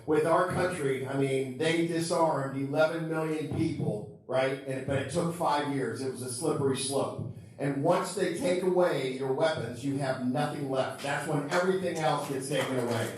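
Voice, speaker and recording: A man's voice: mid-range voice, moderate pace. A man in his fifties, born in Cuba, giving an earnest, conversational campaign introduction at a forum. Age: 50s. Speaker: political candidate. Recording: echoey hall, distant mic.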